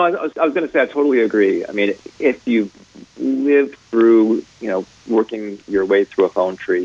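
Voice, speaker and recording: A man's voice: on the low side, a little mellow, breathy edge, highs cut off. A man speaking as a radio panel guest, explaining things in an agreeable, conversational business tone.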